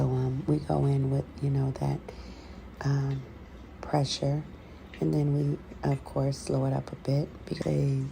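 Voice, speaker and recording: A woman with a gravelly, creaky voice giving a halting, conversational explanatory voiceover as the host of an ASMR video. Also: low-pitched. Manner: unhurried, conversational, halting with pauses. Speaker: host, scratch therapy practitioner. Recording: clearly audible background noise.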